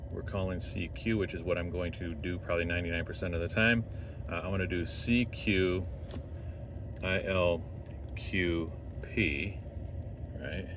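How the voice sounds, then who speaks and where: Male hobbyist demonstrator, very deep register, informal off-the-cuff explaining, recorded with plain vehicle noise. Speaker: amateur radio operator demonstrating contest setup. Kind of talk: casual unscripted tutorial, thinking aloud. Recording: noisy vehicle interior, clear background noise.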